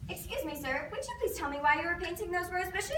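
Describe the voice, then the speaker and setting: A curious, forthright storybook girl, played by a woman, with a very high, bright and lively voice. She is recorded at a distance in an echoey room. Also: fast talker, a little hiss or hum. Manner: plain-spoken, indignant at nonsense. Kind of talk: readers theater stage performance.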